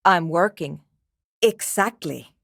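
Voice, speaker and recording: A woman's voice: on the low side, slow, vocal fry, dry recording, clean studio sound. A woman playing a guarded, impatient and anxious programmer in a video game, with a terse, precise and matter-of-fact way of talking. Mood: curt, dry. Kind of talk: scripted game character dialogue.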